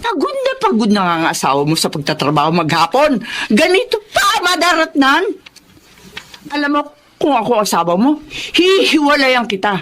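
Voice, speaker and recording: A stern, scolding mother in a radio drama, her pitch swinging widely as she lectures. She talks down to her son and does not let him cut in. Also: wildly swooping pitch, moderate pace, a bit bright, exaggerated voice.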